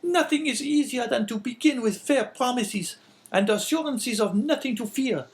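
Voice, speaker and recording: A man putting on a shrill, scolding sickly wife. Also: normal speed. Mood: suspicious. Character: sickly suspicious shrill wife, middle-aged woman.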